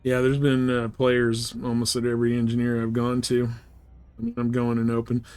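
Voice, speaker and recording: A man's voice: medium pitch, monotone, normal speed, darkish tone, smooth, no room sound. A man livestreaming a video game, rambling casually in an easygoing way with some mild swearing. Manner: rambling, easygoing, mildly profane. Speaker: live streamer playing a space game. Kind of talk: casual gameplay chat.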